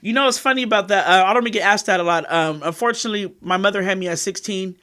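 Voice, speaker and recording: Woman, 30s, from California, fast talker, candid interview guest, rambling. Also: mid-range voice, fairly bright tone. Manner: frank and rambling, leans on "you know what I mean".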